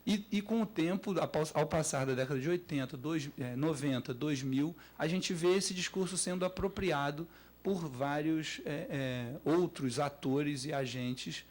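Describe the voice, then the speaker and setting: A man with a Brazilian accent speaking off the cuff as an academic panelist, halting and repeating words as he develops his points. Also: a little high. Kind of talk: spontaneous panel discussion.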